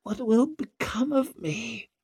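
A disgraced nobleman for an audiobook, pitched very high and swooping. He is indignant and wheedling, with a shrill, melodramatic self-pity. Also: big pitch swings, slow, vocal fry, no room sound, studio quality, full character voice. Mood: tearful. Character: whining, desperate, self-pitying accused nobleman, blustering and shrill.

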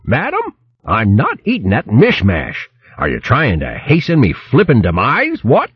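A man's voice: medium pitch, wildly swooping pitch, unhurried, creaky, breathy edge, no room sound, lo-fi sound, pitched above the performer's own voice, exaggerated voice. A man voicing a pompous, whining old male hare from an animal storybook, melodramatic and full of old-fashioned posh British slang. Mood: pointedly stubborn.

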